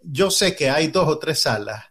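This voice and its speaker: A man's voice: on the high side, lively intonation, moderate pace, a bit bright, slightly rough. Older guy, chatty podcast host, goes on and on with lots of restarts. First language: Spanish. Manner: talkative, explains at length with many restarts. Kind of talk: casual chat.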